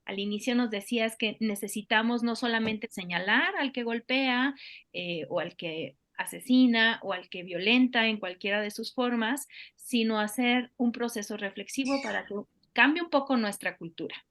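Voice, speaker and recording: A woman's voice: on the high side, moderate pace, fairly bright tone. A woman hosting an interview, responding thoughtfully and building on her guest's points. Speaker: host.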